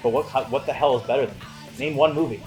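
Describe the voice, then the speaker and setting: A man's voice: medium pitch, fast talker, a little mellow, soft background music, rough recording. Male co-host for a casual review show, conversational, playful and emphatic. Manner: emphatic, playful, swears freely. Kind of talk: casual film review chat.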